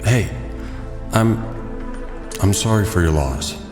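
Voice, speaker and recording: A man's voice: low voice, expressive, slow, roomy sound, in a large hall, over music. A man playing a terse, commanding and brooding masked vigilante in an audio drama. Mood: polite, subdued. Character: brooding, intimidating male masked vigilante with secret gentleman side.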